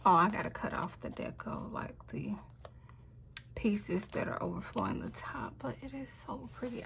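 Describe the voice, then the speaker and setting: A woman casually talking through her planner decorating, relaxed and chatty with a slightly raspy voice that drops to a half-whisper at times. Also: a little high, noisy background. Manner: relaxed, chatty, trailing off with fillers. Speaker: planner vlogger.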